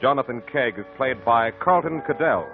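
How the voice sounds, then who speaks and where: A man's voice: medium pitch, lively intonation, normal speed, creaky. An American man announcing from a script as the host of a 1950s radio show. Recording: muffled 1950 radio recording with music.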